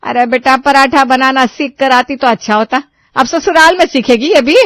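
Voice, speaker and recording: Woman doing a naggy middle-aged saas in a cartoon, high voice, sarcastic and chiding, dry sound. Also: moderate pace, fairly bright tone, no echo. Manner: chiding, sarcastic rhetorical questions. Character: nagging, sarcastic middle-aged mother-in-law and aunt. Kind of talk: Hindi cartoon moral story voice acting.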